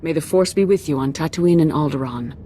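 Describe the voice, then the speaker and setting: A woman's voice: deep voice, brisk, warm tone, a little hiss or hum, close to an everyday voice. A woman acting a composed, fair-minded Jedi grandmaster in a video game, speaking formally and with authority.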